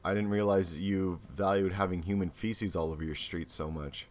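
A man's voice: sits low, steady tone, moderate pace. A man co-hosting a casual podcast, joking bluntly and crudely. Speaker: podcast co-host. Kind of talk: casual chat.

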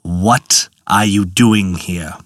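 Man doing a deep, stern turtle chief. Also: no room sound, studio quality. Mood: suspicious. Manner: slow, deep and commanding.

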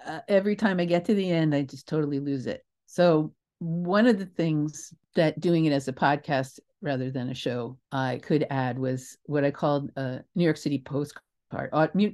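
An older woman telling stories as an interview guest in a casual, animated, emphatic way, often interrupting herself and digressing. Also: low voice. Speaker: interview guest, theatre creator.

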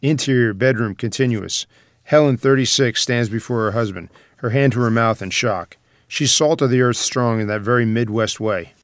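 A man's voice: mid-range voice, moderate pace. A man narrating a screenplay's stage directions in a dramatic present-tense storytelling manner.

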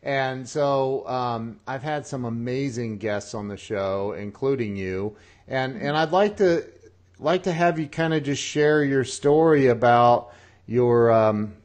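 A man hosting an interview show, talking in an excitable, casual way with lots of restarts and laughs. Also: mid-range voice. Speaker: podcast host.

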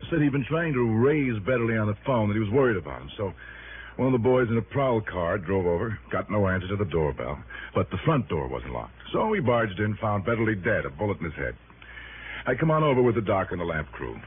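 An actor in a 1960s radio drama playing a plain-spoken police lieutenant who explains things in a casual, colloquial way. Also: male voice, on the low side, very animated, brisk. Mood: matter-of-fact. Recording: muffled, noisy old radio broadcast.

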